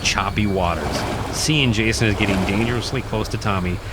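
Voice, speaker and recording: A man's voice: lowish voice, a little fast, background noise. A man reading a horror radio play as narrator, in a suspenseful, theatrical style. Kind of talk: dramatic scripted horror narration. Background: prominent music.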